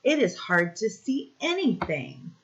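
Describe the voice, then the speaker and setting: A woman reading a picture book aloud to young children like a teacher, explaining simply and pausing to ask questions. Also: a little high, big pitch swings. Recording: dull, lo-fi recording.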